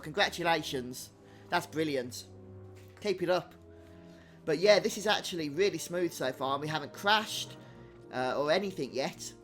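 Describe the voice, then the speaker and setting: A male gaming streamer with a slightly high voice talks casually through his play. He chats to his viewers over faint game music. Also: fairly bright tone. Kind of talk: casual live game commentary, chatting to viewers.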